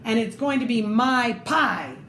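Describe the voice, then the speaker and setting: A woman's voice: a little high, lively intonation, measured pace, fairly bright tone. A woman voicing a grumpy, possessive man from a children's storybook in a curt, exclamatory way. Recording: echoey room with faint noise.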